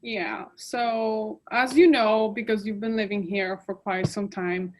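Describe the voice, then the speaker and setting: A woman's voice: medium pitch, a little slow, a little hiss or hum, rough recording. A woman in her thirties from Poland, speaking English, chatting casually and listing details expressively. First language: Polish. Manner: expressive, lists details, frequent "like" fillers. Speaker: guest. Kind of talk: casual chat.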